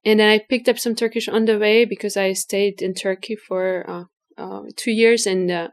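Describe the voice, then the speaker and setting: A woman with a Hungarian accent answers interview questions in a relaxed, searching way. She pauses and restarts often as she works out her thoughts. Her pitch sits in a middling range. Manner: hesitant, thinking aloud with restarts and fillers. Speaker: guest artist. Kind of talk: casual interview.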